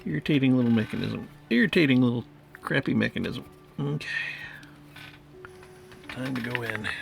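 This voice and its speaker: A man's voice: mid-range voice, normal speed, gravelly, soft background music. A man chatting casually and thinking aloud as he tinkers, muttering to himself and to the thing he is repairing. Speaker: hobbyist repairer of vintage tape recorders.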